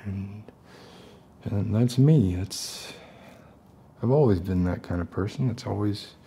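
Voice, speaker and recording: An actor plays an unstable male survivalist who styles himself a gentle protector. His voice is low, creaky and mellow, and he rambles softly and haltingly in an ASMR roleplay. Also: heavily acted. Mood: tender, earnest. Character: unstable, falsely gentle self-appointed protector, survivalist loner. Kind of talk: soft-spoken ASMR roleplay acting.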